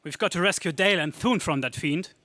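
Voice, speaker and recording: A man plays a plucky, defiant hero of an old radio space adventure. The voice is high for a man and bright, with earnest, emphatic lines in a dry recording. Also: brisk, no echo. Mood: hearty. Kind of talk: 1930s space serial radio drama acting.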